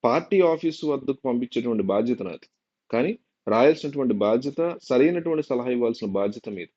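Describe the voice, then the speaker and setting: A man's voice: medium pitch, animated, a little fast, darkish tone, no echo, highs cut off. A man giving informal political news commentary to viewers, explaining and repeating phrases for emphasis.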